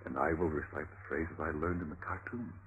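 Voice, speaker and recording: An actor playing a sly, pompous Renaissance physician, theatrical, in an old-time radio drama with tinny vintage sound. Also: male voice, very deep voice, a little fast, slightly rough, soft background music, full character voice. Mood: hushed, conspiring. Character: sly, self-important Renaissance physician, quack and flatterer.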